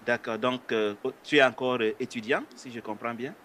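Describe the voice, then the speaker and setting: A man's voice: mid-range voice, fairly even intonation, normal speed, a bit bright, a little hiss or hum. A man hosting an interview, asking questions in a polite, hesitant way with frequent filler sounds. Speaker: interview host. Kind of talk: conversational religious interview.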